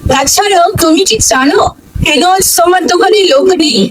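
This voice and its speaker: A woman's voice: very high-pitched, a little fast. A woman voicing a sensible village wife who advises and moralises in a cartoon story, over background noise. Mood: earnest, admonishing. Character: sensible village wife gently scolding her husband.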